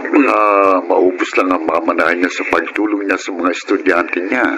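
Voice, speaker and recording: An actor playing a concerned grown son and older brother in a Filipino radio drama, with a Filipino accent. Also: male voice, deep voice, fairly even intonation, moderate pace, noisy background. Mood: questioning, concerned.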